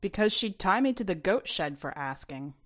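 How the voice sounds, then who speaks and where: A woman's voice: medium pitch, expressive, normal speed, natural voice. Woman reading as teasing, grumbling teenage desert boy. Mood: mock horror.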